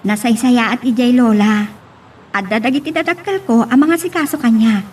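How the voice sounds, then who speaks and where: A woman's voice: a little high, normal speed, a little mellow, slight background noise, close to an everyday voice. A woman playing a pregnant young working woman, affectionate but anxious, in an Ilocano audio drama. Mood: earnest.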